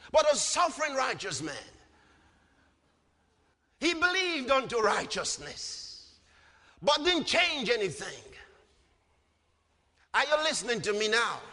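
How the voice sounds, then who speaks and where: A man's voice: extremely high pitch, pushed and bright. A man preaching an impassioned sermon, emphatic and repetitive, punctuated with rhetorical questions. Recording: long hall reverb.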